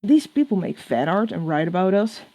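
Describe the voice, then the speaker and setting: A woman's voice: mid-range voice, very animated, moderate pace, warm tone. A woman voicing a cheeky, smirking male demon with a casual, teasing manner in a story reading.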